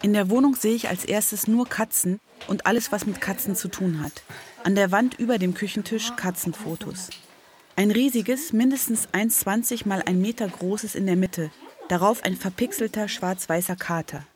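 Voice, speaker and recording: A woman's voice: mid-range voice, normal speed, a little mellow. A woman reporter narrating a scripted first-person account.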